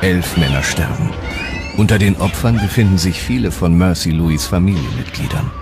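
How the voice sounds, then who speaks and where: Man, very low voice, scripted documentary narration, music playing behind. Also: lively intonation, moderate pace, vocal fry.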